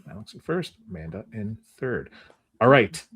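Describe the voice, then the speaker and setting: A man's voice: lowish voice, big pitch swings, moderate pace, vocal fry, fairly bright tone, slightly rough. A man casually hosting a movie trivia game on a podcast, chatting and explaining to his guests. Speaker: podcast host.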